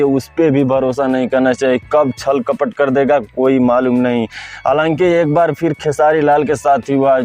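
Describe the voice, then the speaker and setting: Male gossip-channel host, Bhojpuri-flavoured Hindi, medium pitch, repetitive and viewer-directed, light music bed. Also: darkish tone. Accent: Bhojpuri-influenced Hindi. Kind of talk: informal gossip commentary to viewers.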